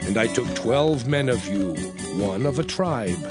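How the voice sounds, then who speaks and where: Solemn elder prophet played by a man, declamatory scripture drama, slow with sweeping pitch over prominent music. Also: expressive, close to an everyday voice. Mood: solemn recounting. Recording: music behind the voice.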